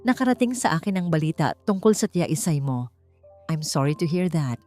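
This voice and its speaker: A woman's voice: low voice, sing-song, a little fast, a little mellow, soft background music. A woman voicing a manipulative, smug male boss with falsely kind manners in an audiobook drama. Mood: feigned sympathy.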